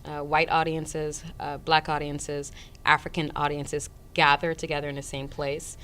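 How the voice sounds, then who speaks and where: A woman actor with a very low voice answers interview questions thoughtfully, pausing often with fillers before pressing her point. A faint rumble sits under the recording. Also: flat delivery, sharp tone. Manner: thoughtful, many fillers, grows emphatic. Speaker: actor guest. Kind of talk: casual interview.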